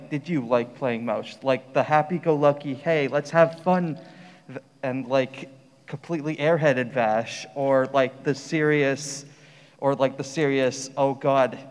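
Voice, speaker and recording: A man asking a casual fan question from the audience, piecing it together haltingly. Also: medium pitch, darkish tone. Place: large convention panel room. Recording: reverberant hall, audience microphone.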